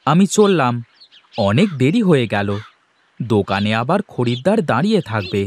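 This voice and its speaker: A man's voice: mid-range voice, sing-song, moderate pace. A man playing a kindly, affectionate father and husband in a cartoon, speaking with concern. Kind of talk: Bengali cartoon voice acting.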